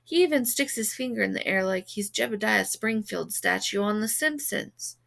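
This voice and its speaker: A woman reading a children's book aloud with expressive narration. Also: medium pitch, moderate pace, vocal fry. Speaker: read-aloud reader.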